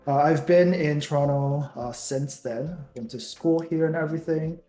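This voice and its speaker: A man's voice: on the high side, normal speed, echoey room, faint music behind. A young man from Taiwan, co-hosting a casual podcast chat, rambling with many fillers. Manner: relaxed, rambling with many ums and likes. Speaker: podcast co-host.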